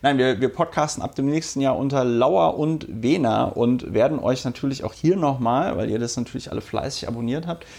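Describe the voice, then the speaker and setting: A man hosting a podcast who has a cold, talking casually with many fillers and pauses, laughing easily. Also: mid-range voice, creaky, a little mellow, breathy edge. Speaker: podcast host. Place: indoor room with a guest.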